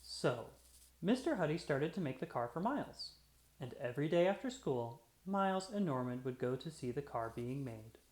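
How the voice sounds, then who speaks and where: A children's read-aloud narrator with a mid-range voice that rises and falls in a lively way. The phrasing is deliberate and expressive, made for young listeners. The room adds noticeable echo. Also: mid-range voice. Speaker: storyteller reading aloud. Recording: roomy, echoey sound.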